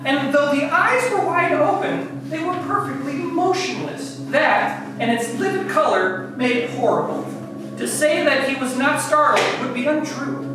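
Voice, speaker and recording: A woman narrating a comic dramatic reading in a theatrical way with wry asides, heard from a distance in an echoey room. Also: sits high. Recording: echoey room, distant mic, music behind.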